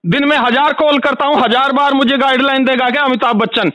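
Male caller, very high voice, furious and insistent, customer complaint rant, dry phone recording. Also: fairly even intonation, a little fast, bright, forceful tone, no echo, muffled recording. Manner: angry, insistent, ranting, repetitive. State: angry. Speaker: complaining customer calling a helpline.

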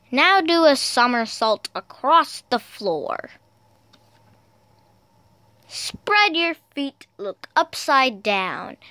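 A woman reading picture books aloud for toddlers in a sing-song, deliberate, child-directed way. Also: sits high, sharp tone, clear, resonant tone, dry recording. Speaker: storyteller, video host.

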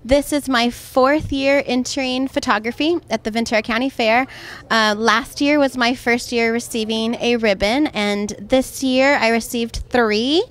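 Woman, 30s, American, fairly high voice, amateur hobbyist in a casual interview. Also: steady tone, a little slow. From California. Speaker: amateur photographer. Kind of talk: unscripted interview talk. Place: indoor exhibit hall. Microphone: close handheld microphone.

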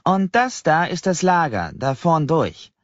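A man voicing a chirpy, motherly middle-aged woman clerk in an audiobook, folksy and brisk, fond of pet names. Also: a little high, sing-song, no echo, highs cut off, voice pushed up. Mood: upbeat, helpful. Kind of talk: acted audiobook dialogue.

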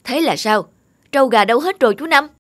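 A woman voicing a responsible, stern village elder brother in an animated folk tale, firm and admonishing. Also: high voice, brisk, no room sound, studio quality. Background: background music.

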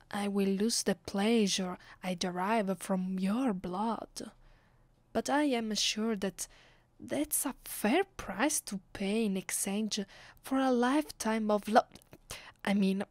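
A woman plays a haughty female vampire with an Italian accent in an intimate ASMR roleplay. She speaks slowly and deliberately, soft and half-whispered, possessive yet secretly tender. The sound is very dry and close. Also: medium pitch, dry recording, close to an everyday voice. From Italy. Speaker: voice actress.

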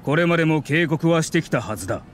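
男性が演じる、ゲームの冷淡な学院の役人。厳しく素っ気ない、見下すような話し方。. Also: male voice, on the high side, lively intonation, quick pace, a little hiss or hum, close to an everyday voice. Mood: cold, dismissive. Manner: curt, stern, condescending. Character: cold, officious male academy official in his thirties.

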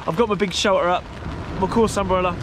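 A man's voice: sits high, fairly even intonation, fast. A man chatting casually and hesitantly to camera as a fishing vlogger. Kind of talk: casual vlog chat to camera. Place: outdoors on a stormy beach under an umbrella. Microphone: camera at arm's length. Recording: clear rain and weather noise outdoors. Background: rain.

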